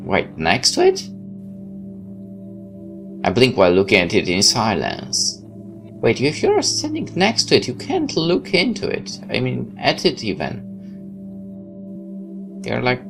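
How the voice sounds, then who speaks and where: A man's voice: low-pitched, gravelly, fairly bright tone. A man reading a visual novel aloud in first person, sometimes stepping out of the narration for casual comments. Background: background music.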